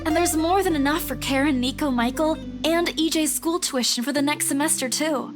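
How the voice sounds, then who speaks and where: A woman's voice: high voice, fairly quick, music in the background, close to an everyday voice. An actress voicing an anxious, dutiful young working woman in an earnest, emotive visual novel performance. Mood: reassuring, dutiful.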